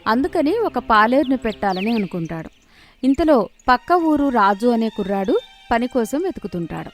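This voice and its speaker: A woman's voice: a little high, sing-song, normal speed. A woman narrating a scripted moral story. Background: faint background music.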